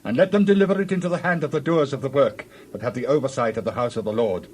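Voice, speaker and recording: A man's voice: medium pitch, fast, a little hiss or hum, close to an everyday voice. Man acting an earnest, commanding king. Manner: commanding, declamatory. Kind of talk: dramatized scripture audio.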